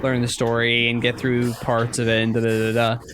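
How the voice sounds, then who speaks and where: A man in his thirties co-hosting a casual podcast chat, speaking haltingly and often repeating words as he works out a thought. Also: mid-range voice. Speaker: podcast co-host. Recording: clicks and steady background noise.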